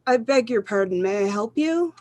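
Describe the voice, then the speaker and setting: A woman playing a polite, brisk telephone operator in a suspense radio play, with formal, sing-song courtesy. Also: fairly high pitch, lively intonation, a little mellow, dry recording, lightly acted.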